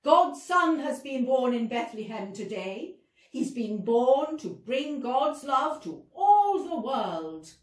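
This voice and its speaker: A woman voicing a mighty angel who speaks in a slow, proclaiming way, recorded in an echoey room away from the mic. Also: sits high, very animated, far from the mic, pitched above the performer's own voice, full character voice. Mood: solemn. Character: mighty booming angel, solemn messenger of God.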